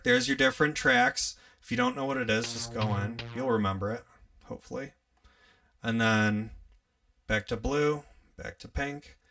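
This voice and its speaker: Gravelly bright-voiced upstate New York man. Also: mid-range voice, distant mic. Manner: relaxed, chatty, laughs often, talks while working. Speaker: livestream host teaching music gear. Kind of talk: casual live tutorial.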